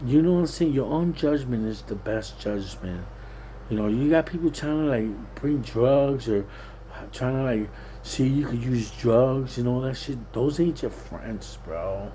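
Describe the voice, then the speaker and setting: A man's voice: mid-range voice, animated, unhurried, warm tone, a little hiss or hum, poor audio. A plain-spoken, streetwise Puerto Rican man giving a casual, rambling, repetitive monologue. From Puerto Rican, living in Pennsylvania, USA. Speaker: vlogger.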